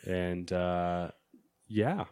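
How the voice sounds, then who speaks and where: A man's voice: sits low, very slow, a little mellow, smooth. A man co-hosting a gaming podcast in casual chat, laid-back, with short replies. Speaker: podcast co-host.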